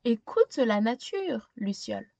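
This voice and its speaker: A woman voicing a gentle woman gardener in a storybook, tenderly confiding and explaining things to a child. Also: fairly high pitch, very animated, takes it slowly, dry recording, highs cut off, heavily acted. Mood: gentle teaching.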